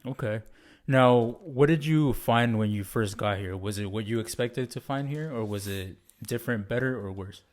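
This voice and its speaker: A man hosting a podcast, who welcomes listeners politely and asks his guest measured, structured questions. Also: medium pitch. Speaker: podcast host.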